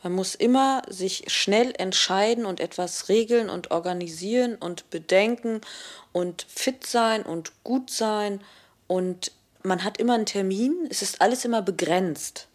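A woman's voice: medium pitch, a little slow. A woman in an interview, speaking thoughtfully and sometimes dropping almost to a whisper. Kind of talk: reflective interview statement.

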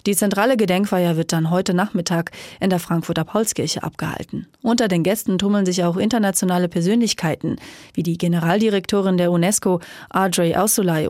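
A woman narrating a scripted radio news report in a calm, even way. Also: fairly low pitch, dry recording, studio quality.

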